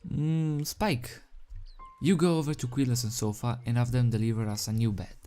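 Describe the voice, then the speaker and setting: A man voicing a caring, worried young female unicorn pony in a storybook reading, soft-spoken and anxious. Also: medium pitch, steady tone, normal speed, faint music behind, close to an everyday voice. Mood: thoughtful.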